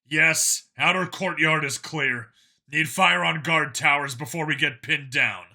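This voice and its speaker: A blunt human soldier in battle, acted by a male narrator. He talks in clipped military bursts with a forceful, bright edge, as in an audiobook. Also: a little high, unhurried, vocal fry, full character voice. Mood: terse, urgent.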